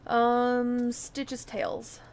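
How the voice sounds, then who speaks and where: A woman's voice: on the high side, little pitch movement, drawn-out words, darkish tone, a little hiss or hum. A woman hosting a game livestream, chatting casually. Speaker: livestream host.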